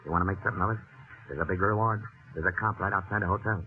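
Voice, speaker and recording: An actor playing a menacing, smooth gangster blackmailer with slangy, taunting talk in 1940s radio style. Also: male voice, low voice, steady tone, racing, natural voice. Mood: quietly threatening. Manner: slangy, taunting, calls listener pal. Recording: muffled old radio recording, faint music.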